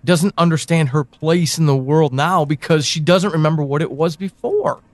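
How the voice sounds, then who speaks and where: Brooding, knowing actor as a higher-voiced man, halting delivery. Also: dry recording, natural voice. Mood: somber, deliberate. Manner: deliberate, halting delivery with sighs and pointed pauses. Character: brooding, knowing man confiding in an amnesiac woman. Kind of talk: audio drama monologue, second-person address.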